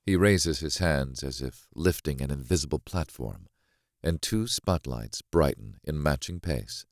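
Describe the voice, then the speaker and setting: A man narrating a thriller audiobook in the first person, in a measured, brooding and dramatic way. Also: a bass voice, lively intonation, no echo, clean studio sound.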